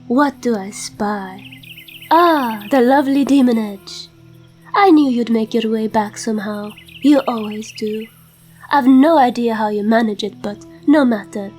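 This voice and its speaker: A woman's voice: fairly high pitch, darkish tone, lightly acted. A woman voicing a genteel, knowing fantasy shopkeeper with a theatrical, self-amused manner in a comic dub.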